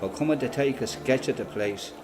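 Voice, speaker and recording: A man's voice: mid-range voice, brisk, over music, close to an everyday voice. An actor playing an older Dublin father and former freedom fighter in a radio play, with an Irish accent and a folksy, colloquial way of talking. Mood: matter-of-fact reminiscing. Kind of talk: radio play acting, conversation and reminiscence.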